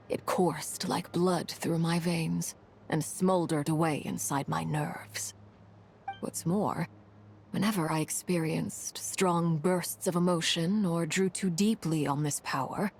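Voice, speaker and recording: A woman playing a composed, commanding harbinger of cursed fire in a video game, speaking in a measured, solemn, formal way. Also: lowish voice, slight background noise. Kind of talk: dramatic video game voice acting.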